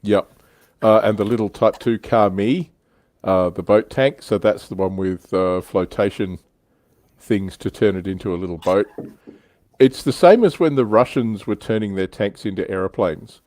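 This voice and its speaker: Male livestream host, very deep voice, informal and wry, chatting casually with close, dry sound. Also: normal speed, a little mellow, no echo. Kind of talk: casual hobby panel chat, reading out viewer comments.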